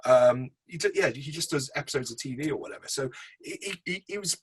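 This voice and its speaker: A man's voice: medium pitch, expressive, a little fast. A man co-hosting a film review podcast, chatting casually in a rambling, matey, informal way.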